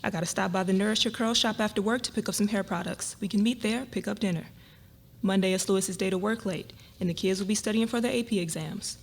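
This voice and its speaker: A woman playing a shocked, hurt wife in a live dramatic reading, with a husky voice and halting delivery. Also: medium pitch, natural voice. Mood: brisk, distracted. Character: shocked, hurt wife of a husband revealing an affair. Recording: live reading with room noise.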